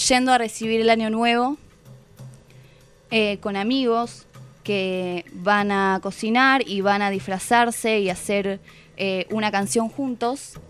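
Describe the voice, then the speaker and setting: A woman's voice: a little high, a bit bright, clear, resonant tone. A woman with an Argentine accent giving an unscripted, reflective literary talk as a guest, voicing quoted thoughts as she goes. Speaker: guest reviewing a book. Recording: music playing behind the voice.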